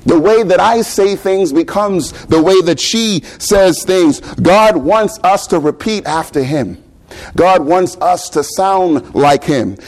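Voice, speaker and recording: A man with a Bahamian accent preaches in a loose, talky way. He keeps asking his listeners questions and repeats words now and then. His voice sits a little high for a man. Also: takes it slowly, poor audio. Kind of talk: sermon.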